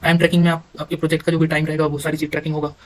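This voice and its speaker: Indian guy doing a software demo, higher voice, talks quick, kinda halting, drops in english words. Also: monotone, rough recording. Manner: halting, sprinkles English terms into his speech. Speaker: software demonstrator. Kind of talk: informal screen-recorded tutorial walkthrough.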